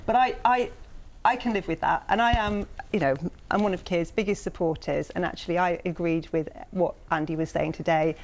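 A woman's voice: lowish voice, steady tone, fairly quick, a little mellow, a little hiss or hum. A woman from North East England, a political commentator on a debate panel, building long, earnest, persuasive arguments.

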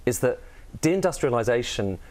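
A man's voice: mid-range voice, sing-song, normal speed, darkish tone. A man commenting on economics in a panel debate, thinking aloud and hedging with frequent qualifiers. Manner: thoughtful, hedging, many "kind of" and "I mean".

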